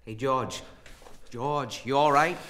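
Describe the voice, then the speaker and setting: An actor playing a gruff but friendly small-town policeman in a radio play, blunt and folksy. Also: mid-range voice, takes it slowly, bright, forceful tone. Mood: concerned, friendly.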